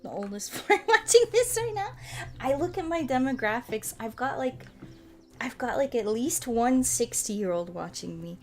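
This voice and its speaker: A woman in her late twenties chatting informally as a livestream host, rambling and animated, with loose, sweary language. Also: fairly high pitch, moderate pace, faint music behind. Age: late 20s. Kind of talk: casual chat while playing a game, reading and answering chat.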